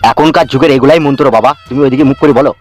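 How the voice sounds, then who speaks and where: A man's voice: mid-range voice, steady tone, very fast, over loud music, close to an everyday voice. A man voicing a bossy, scolding wedding priest in a comedy cartoon, chanting and instructing.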